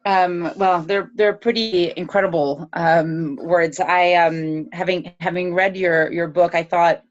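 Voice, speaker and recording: A woman interviewer asking gentle, hesitant questions with fillers and restarts. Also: on the low side, fairly even intonation, measured pace, muffled recording. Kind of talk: conversational interview.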